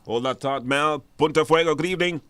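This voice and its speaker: A man's voice: on the high side, a little fast, a bit bright, slight background noise. A man casually hosting a live radio call-in show, chatty and encouraging, speaking in a studio.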